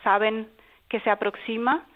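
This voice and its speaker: A woman's voice: on the high side, fairly even intonation, moderate pace. A woman in her thirties from Gran Canaria with a Canarian Spanish accent, a radio guest on the phone, explaining hesitantly and repeating words. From Gran Canaria, Spain. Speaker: tourism office marketing guest. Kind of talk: phone interview. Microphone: telephone. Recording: tinny phone line.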